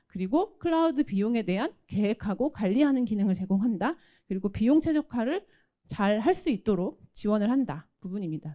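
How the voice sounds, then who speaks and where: A woman's voice: fairly high pitch, highs cut off. A woman giving a technical product presentation in a polite, formal, explanatory manner. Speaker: company solutions presenter.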